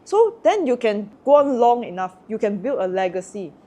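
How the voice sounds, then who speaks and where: A woman's voice: on the high side, big pitch swings, moderate pace, dark tone. A woman from Malaysia with a Malaysian English accent, chatting casually and giving strong, indignant opinions. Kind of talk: casual chat.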